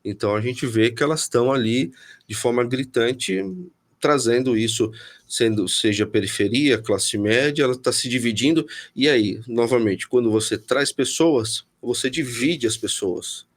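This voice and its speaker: A man from São Paulo city with a Paulistano Brazilian Portuguese accent, a guest in a political interview, thinking aloud and often restarting sentences. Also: medium pitch. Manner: thoughtful, restarts often, pauses to find words.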